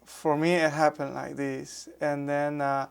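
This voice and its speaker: A man in his sixties speaks English with a Beijing Mandarin accent, in an oral history interview. His voice is smooth and clear, and his delivery is slow and fairly flat. He pauses often, with many fillers and restarts. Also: on the high side. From Beijing, China. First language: Mandarin Chinese. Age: 60s. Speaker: architecture professor.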